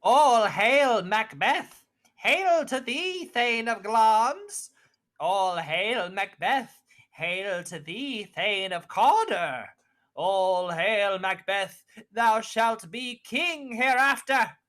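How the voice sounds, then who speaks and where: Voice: medium pitch, animated, very slow, fairly bright tone, pitched above the performer's own voice. A student actor voicing a gleeful withered witch who chants prophecies in the style of a 1940s radio Shakespeare play. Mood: exultant prophecy.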